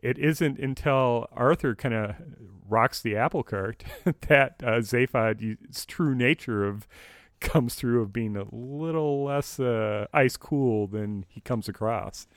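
A man co-hosting a casual podcast discussion, explaining things in a measured, slightly halting way. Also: mid-range voice, dry recording, studio quality. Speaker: podcast co-host.

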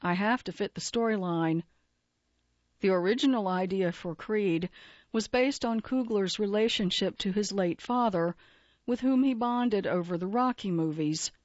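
A woman narrating a magazine feature article in an even, measured reading style. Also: medium pitch, no room sound, lo-fi sound.

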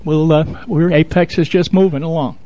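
A man chatting casually as a radio co-host, with a halting, talkative and promotional manner. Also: on the high side, steady tone, mellow, no room sound. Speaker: radio show co-host and local theater director.

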